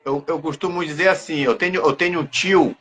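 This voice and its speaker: A man's voice: on the high side, fairly even intonation, brisk, a bit bright. A man hosting a casual interview, speaking informally and often repeating the openings of his phrases. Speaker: podcast host.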